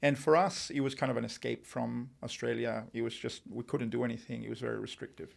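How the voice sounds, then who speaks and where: A middle-aged man from Uruguay, raised in Spain, telling his story as an interview guest in a relaxed way, with many fillers and restarts. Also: medium pitch, fast talker, vocal fry. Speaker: entrepreneur guest. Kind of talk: podcast interview.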